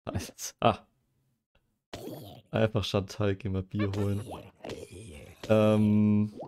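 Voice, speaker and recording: A man's voice: fairly low pitch, monotone, very slow, a little mellow, a little hiss or hum. A young man streaming a game, chatting casually and joking while he reads quiz questions aloud and muses over his answers. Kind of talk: casual livestream chat while gaming.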